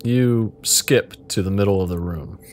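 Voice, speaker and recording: Man, low voice, game master, casual narration, deadpan and playful, faint background noise. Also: unhurried. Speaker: game master and host of an interactive fiction podcast. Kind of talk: casual narration of an interactive text adventure.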